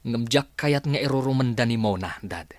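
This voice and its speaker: A man's voice: mid-range voice, normal speed, no room sound, lightly acted. A man acting as a concerned, questioning young father in a radio drama.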